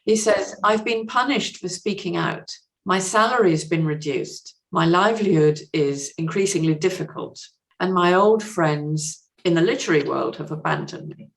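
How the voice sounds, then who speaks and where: A woman's voice: lowish voice, moderate pace, creaky, few pauses. A woman speaking as a podcast guest and translator, in a thoughtful, hesitant conversational way with explanatory asides. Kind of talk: conversational interview, reading out a message.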